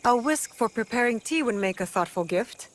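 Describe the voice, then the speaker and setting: A woman's voice: a little high, lively intonation, moderate pace, a little hiss or hum, lightly acted. An actress playing a young, resolute and loyal female shinobi in a game cutscene, earnest and direct. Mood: calm, kind. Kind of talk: dramatic game cutscene acting.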